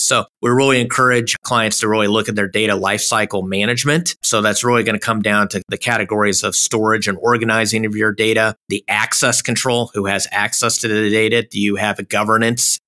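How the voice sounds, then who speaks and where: A man with a fairly low voice and a bright, forceful edge. He talks as a consultant on a business podcast, laying out points in loose, informal phrasing. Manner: informal phrasing with frequent "kinda" and "you know". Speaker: technology consultant guest. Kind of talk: explanatory business podcast talk.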